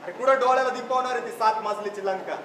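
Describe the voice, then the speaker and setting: A man's voice: sits high, fast, fairly bright tone. A man playing an earnest, worried father and village schoolteacher in a stage play. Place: theatre stage at a youth festival. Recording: distant echoey stage, noisy hall.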